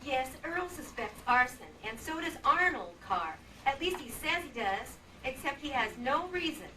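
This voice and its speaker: Woman acting high-voiced radio-drama wife. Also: lively intonation, normal speed, sharp tone. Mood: skeptical. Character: female former showgirl wife, hostess, skeptical, outspoken. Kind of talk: old-time radio drama re-creation on stage. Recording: distant stage mic, clear background noise.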